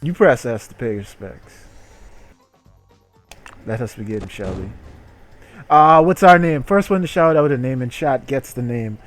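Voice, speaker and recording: A man's voice: medium pitch, animated, unhurried, long pauses, soft background music. A man hosting a game livestream in a theatrical, mock-grandiose way, with muttered, sweary asides. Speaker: livestream host. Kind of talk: theatrical game-stream commentary.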